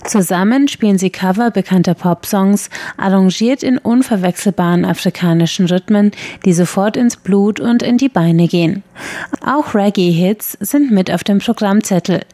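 A woman narrating a scripted radio feature in a measured, clear read. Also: medium pitch, mellow, no room sound. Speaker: radio reporter.

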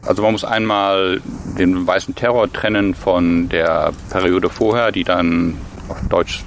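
A man's voice: sits low, steady tone, unhurried, a little hiss or hum. A man, a professor, explaining his research in an interview, lecturing steadily and thinking aloud with fillers and restarts, recorded outdoors.

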